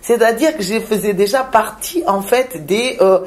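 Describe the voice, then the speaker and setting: A woman from Madagascar, a shaman, speaking in a reflective interview with frequent pauses, hesitations and repeated words. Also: mid-range voice, darkish tone. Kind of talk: reflective spiritual interview talk.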